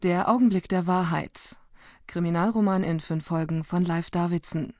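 A woman announcing radio drama titles and credits in a formal, deliberate, scripted manner. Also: on the low side, a little mellow, dry recording, studio quality. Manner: formal, deliberate list reading.

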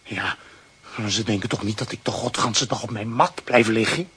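A cocky street dog. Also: male voice, on the low side, moderate pace, a bit bright, husky, full character voice. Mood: grumbling. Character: scrappy male street dog, cocky and proud. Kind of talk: radio play acting.

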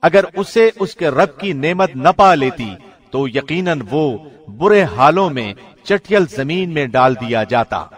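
A man with a solemn, measured, clearly articulated delivery, formally reading a scripture translation like a professional radio presenter. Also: on the high side, lively intonation.

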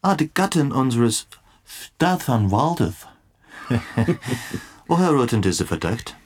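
An actor playing a wry, inquisitive investigator in a German radio play, slyly dropping in English phrases. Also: male voice, medium pitch, very animated, slow pace. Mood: wry, amused. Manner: peppers talk with English phrases, sly.

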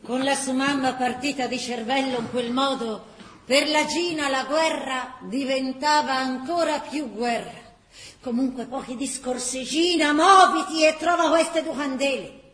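An actress playing a lively Florentine woman, telling a wartime story in colloquial theatrical style. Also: high-pitched, unhurried, a little hiss or hum. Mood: animated. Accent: Florentine Tuscan. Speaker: actress-narrator. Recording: roomy stage echo.

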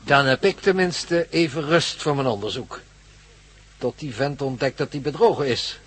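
Researcher in a radio drama, husky voice, middle pitch. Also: male voice, moderate pace, fairly bright tone. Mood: musing. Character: male researcher absorbed in his own work.

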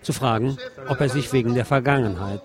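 Man reporting over clear crowd noise. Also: medium pitch, a little fast. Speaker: radio reporter. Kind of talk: reportage narration over a street protest. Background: protest crowd and street sounds.

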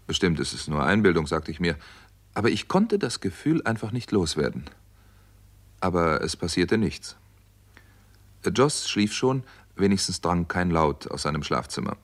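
A doctor who tells his own crime story in a German radio play of the sixties. His voice is deep and his delivery measured and understated. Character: American doctor narrating a crime story, calm, uneasy. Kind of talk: 1960s German radio play, first-person crime narration.

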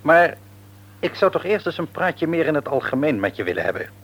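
Shrewd middle-aged businessman, Netherlands Dutch accent, husky, lively swooping intonation, patronising, probing, radio play. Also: fairly high pitch, brisk, exaggerated voice. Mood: probing, chummy. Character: shrewd, patronising businessman father, outwardly jovial, secretly domineering. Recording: radio drama recording.

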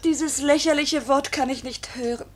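A rather high-voiced young wife acted by a woman speaks with bitter anguish. Her phrasing is deliberate and theatrical, full of feeling, in the manner of a 1950s radio drama. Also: steady tone, slight background noise, close to an everyday voice. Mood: bitter, accusing. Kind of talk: 1950s German radio play acting.

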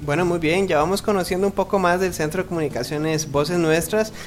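A man's voice: sits high, steady tone, fairly quick, faint music behind. A man presenting a radio program as its host.

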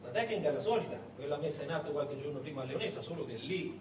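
A man's voice: a little high, expressive, rapid-fire. A man narrating as a stage actor, in a dramatic, emphatic and suspenseful storytelling style. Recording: echoey hall, distant mic, clear background noise.

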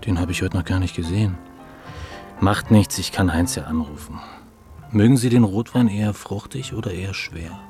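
Actor as deep-voiced, suave rich bar regular. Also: male voice, normal speed, a little mellow, faint music behind, natural voice. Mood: suave, commanding. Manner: suave, casually commanding. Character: smooth, commanding wealthy male hotel-bar regular, generous and sociable. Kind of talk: German radio drama acting.

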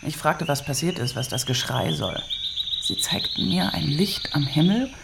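Earnest female eyewitness doctor, gravelly creak, hushed and urgent, radio drama, loud insect-filled background. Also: low voice, lively intonation, moderate pace, heavy background noise. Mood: earnest, uneasy.

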